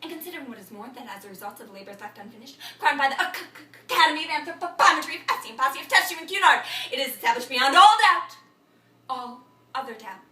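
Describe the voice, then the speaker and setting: A woman playing a frantic, babbling thinker in an absurdist stage monologue, incantatory and repetitive, swinging between muttering and shouting. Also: high voice, animated, fast. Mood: halting, intense. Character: frantic, babbling thinker reciting a broken learned tirade. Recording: echoey room, distant microphone.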